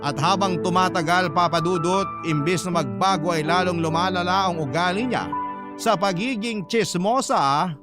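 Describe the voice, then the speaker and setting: A man with a fairly high voice narrates a radio drama as a first-person letter. His delivery is emotive storytelling, set over a loud music bed. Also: normal speed. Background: loud background music.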